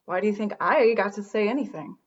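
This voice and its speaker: A woman's voice: medium pitch, quick pace, close to an everyday voice. A woman playing a sarcastic, defensive radio-show boss in a naturalistic comedy drama, recorded in dull lo-fi sound. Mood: testy. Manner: sarcastic, confrontational.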